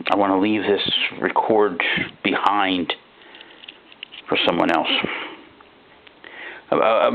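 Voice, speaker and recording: A man narrating a first-person horror story in a folksy, halting, conversational way, like an ordinary guy confiding. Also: fairly low pitch, gravelly. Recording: thin phone-like band-limited sound.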